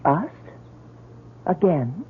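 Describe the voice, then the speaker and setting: An actress playing a gentle, dutiful young woman in an old-time radio drama, tender yet guarded. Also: on the low side, big pitch swings, drawn-out words, slight background noise, heavily acted. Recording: narrow, tinny vintage radio recording.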